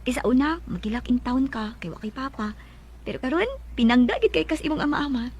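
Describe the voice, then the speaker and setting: A woman's voice: high-pitched, brisk, darkish tone, breathy edge. A woman playing an emotional, protective and affectionate woman in a radio drama, with a Filipino accent. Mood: warm, chatty.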